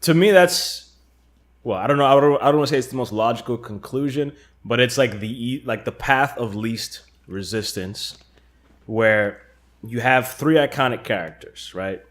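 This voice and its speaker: A man's voice: mid-range voice, normal speed. A man co-hosting a casual fan discussion, talking in an informal, conversational way. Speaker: podcast co-host.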